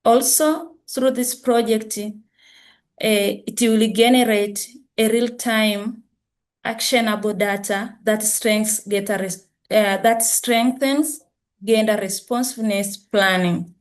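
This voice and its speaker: Halting woman presenting a project. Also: medium pitch, steady tone. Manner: halting, with self-corrections.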